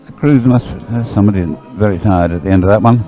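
A man's voice: lowish voice, fast, mellow, faint music behind. A man giving live sports commentary in a rambling, hesitant way, as if thinking aloud.

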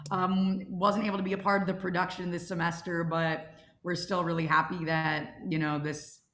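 Bright young woman, roomy call. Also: fairly low pitch, level tone, moderate pace. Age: young adult. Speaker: host. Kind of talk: casual event hosting. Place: indoors on a video call. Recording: roomy, noisy video call.

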